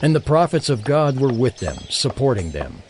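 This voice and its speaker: A man narrating a dramatized Bible recording in a measured, expressive way. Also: medium pitch, slight background noise.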